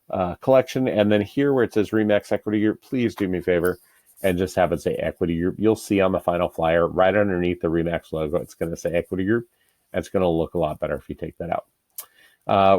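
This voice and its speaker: Deep-voiced American man giving a casual tutorial. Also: darkish tone, no echo. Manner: informal, step-by-step instructing. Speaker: real estate broker giving a software tutorial. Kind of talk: casual screen-share tutorial.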